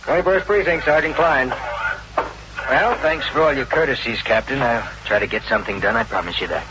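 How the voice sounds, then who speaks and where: A man's voice: medium pitch, brisk, close to an everyday voice. Man as plain-spoken police official, noisy old recording. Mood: brisk, routine. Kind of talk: 1950s radio drama acting. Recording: dull noisy vintage radio recording.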